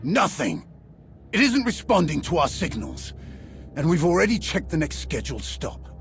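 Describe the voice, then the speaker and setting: A man's voice: fairly high pitch, sing-song, normal speed, soft background music. A man playing a distraught, impatient warrior in a game cutscene, bursting out emphatically. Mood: agitated, frustrated. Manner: agitated, emphatic outbursts. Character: distraught, impatient male warrior, unused to emotions. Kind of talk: video game cutscene acting.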